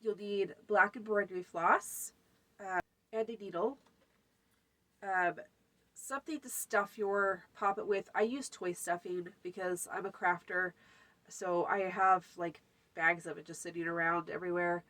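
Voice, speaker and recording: A woman's voice: on the low side, steady tone, unhurried, a bit bright. A woman hosting a folk magic channel, talking casually through a how-to with a stuffy, runny nose and lots of fillers. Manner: chatty, many fillers, lists items. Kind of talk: casual spoken tutorial.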